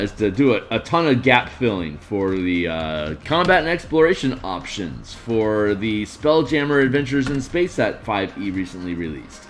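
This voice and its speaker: A man with a tired, gruff voice streaming casually, rambling through tabletop game design with lots of fillers. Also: medium pitch. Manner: rambling, many fillers and restarts. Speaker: streamer and map artist.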